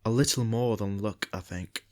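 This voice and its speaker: A man playing an earnest, polite detective sidekick in a podcast drama, asking questions in a measured way. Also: on the low side, a little mellow, natural voice. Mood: inquiring. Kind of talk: podcast audio drama acting.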